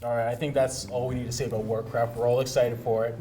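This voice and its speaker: A man's voice: medium pitch, little pitch movement, fast. Man hosting a panel chat over music. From Canada. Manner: conversational, steering the discussion with questions. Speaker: talk show host. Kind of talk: casual panel discussion about pop culture. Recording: music playing behind the voice. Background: background music.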